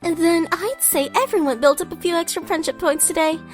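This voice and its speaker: A woman's voice: extremely high pitch, fairly quick, soft background music. A woman acting a bubbly, self-important yet motherly goddess in a fan radio play, theatrical and playful. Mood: gently encouraging.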